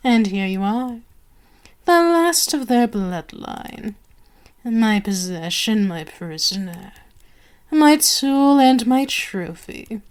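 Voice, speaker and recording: Woman playing vampire queen, higher-pitched female voice, unhurried, imperious, sadistic, mocking, possessive, ASMR roleplay. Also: a little mellow. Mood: gloating. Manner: haughty, drawn-out, teasing, dropping to whispers.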